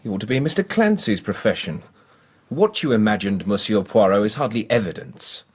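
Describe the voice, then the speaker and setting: An actor playing a cornered, sneering murder suspect in a radio drama, sarcastic and challenging. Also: male voice, on the low side, lively intonation, fairly quick, breathy edge.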